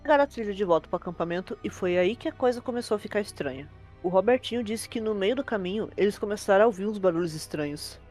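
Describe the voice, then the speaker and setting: A woman's voice: medium pitch, lively intonation, fast, a little mellow, faint music behind. A woman with a Brazilian accent narrating a horror tale as host, in an informal, fluent, conversational way. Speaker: host and storyteller.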